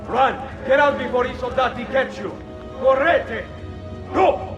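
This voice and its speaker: High-voiced, alarmed Italian townsman. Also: a little slow, muffled recording. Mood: panicked urgency. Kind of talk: dramatic video game cutscene acting. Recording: echoey, reverberant, off in the distance, music.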